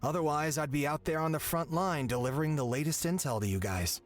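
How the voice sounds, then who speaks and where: A man's voice: fairly high pitch, a little fast, natural voice. A man voicing a friendly but worried young messenger in a video game, in an earnest, conversational way. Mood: friendly, rueful.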